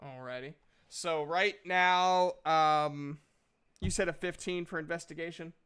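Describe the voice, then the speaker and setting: A man casually narrating a tabletop game as game master, halting and thinking aloud as he goes. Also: on the high side, fairly even intonation, a bit bright, clear, resonant tone.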